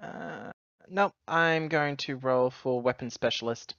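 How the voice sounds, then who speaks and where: A man's voice: medium pitch, takes it slowly, a bit bright, smooth, no echo, highs cut off. A man chatting casually as a player in a tabletop role-playing game.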